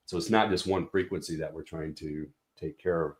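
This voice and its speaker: A man's voice: very deep voice, fairly even intonation, moderate pace, echoey room. A man representing a product company, explaining speaker design in a casual technical walkthrough with many fillers and restarts.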